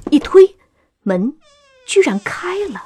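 A woman's voice: sits high, sing-song, slow, crowd noise. A woman delivering scripted narration for a radio drama as its storyteller.

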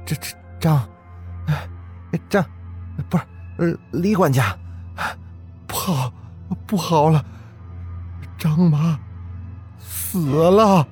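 A man playing a frightened male servant in an audio ghost story, stammering and halting as he speaks. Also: fairly high pitch, darkish tone, soft background music, pitched above the performer's own voice. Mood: terrified. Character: terrified male servant, usually bold, now stammering.

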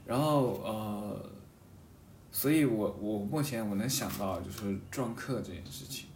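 A man with a fairly low voice talks reflectively in an echoey room, set back from the mic with faint noise around him. He thinks aloud, halting and circling back over his phrases in casual conversation. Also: a little mellow, recorded from a distance. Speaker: podcast guest talking about a hobby of seal carving. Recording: roomy echo, off-mic, faint background noise.

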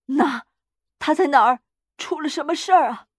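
A grief-crazed old wife from a storybook, acted out by a woman. Her voice is high and shrieking, with breathless, frantic urging and fierce demands. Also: normal speed, no room sound, clean studio sound, lightly acted.

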